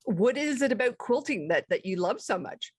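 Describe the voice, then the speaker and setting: A woman's voice: a little high, animated, normal speed, a little mellow, breathy edge, no room sound, studio quality. A woman hosting an interview show, introducing her guest and asking questions.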